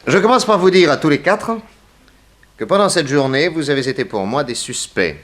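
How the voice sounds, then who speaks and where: A man playing a shrewd, authoritative detective in a 1960s French radio mystery, addressing suspects with deliberate, theatrical command. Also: a little high, expressive, fairly bright tone, slight background noise. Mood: accusing, commanding.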